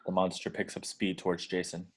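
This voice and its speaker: A man's voice: low voice, monotone, fairly quick, slightly rough. A man reading out the action descriptions as scripted narration in a table read.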